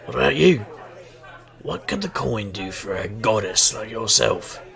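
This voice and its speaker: Man playing a gravelly, wry private eye. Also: fairly low pitch, big pitch swings, a little slow, a bit bright, exaggerated voice. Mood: sardonic, probing. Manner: wisecracking, sardonic. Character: wry, hard-drinking male private eye and street magician. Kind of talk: noir audio drama acting and narration. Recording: crowd murmur behind.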